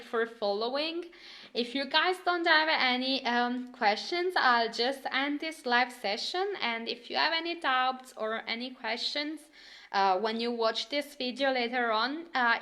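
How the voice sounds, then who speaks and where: A woman's voice: sits high, measured pace, pushed and bright. A woman with an Italian accent, a teacher chatting casually in a live stream, laughing often and reading out viewers' comments. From Italy.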